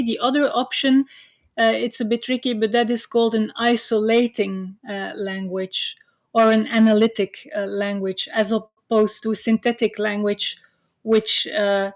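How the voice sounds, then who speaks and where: A woman whose first language is Dutch, giving an online university lecture with frequent "uh" fillers and a hesitant, explanatory manner. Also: medium pitch. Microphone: computer mic on video call.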